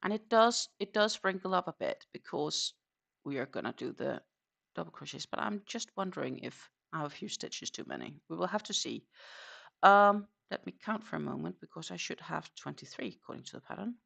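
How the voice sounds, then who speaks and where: A woman's voice: low voice, expressive, a little fast, creaky, a bit bright. A woman with a Danish accent chatting casually on a crochet live stream, counting her stitches aloud and answering viewers.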